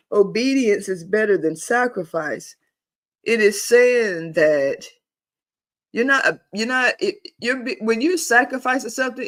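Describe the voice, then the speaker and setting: A man hosting a casual spiritual talk on a live online session, animated and emphatic, with easy laughs, repeated phrases and rhetorical questions. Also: sits high. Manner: repeats and restarts while building a point, informal and folksy. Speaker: host of a paid spiritual membership group.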